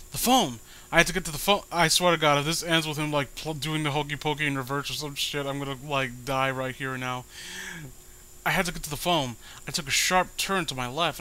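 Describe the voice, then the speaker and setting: A man's voice: mid-range voice, sharp tone, slight background noise, rough recording. A man reading a horror story aloud with dramatic delivery and sarcastic commentary. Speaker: host reading amateur horror stories.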